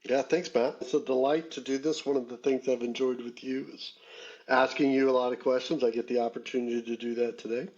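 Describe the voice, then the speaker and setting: A man's voice: medium pitch, fairly even intonation, fairly quick, darkish tone, husky. Texan man coaching guest, dull sound. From Texas. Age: middle-aged. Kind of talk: conversational interview.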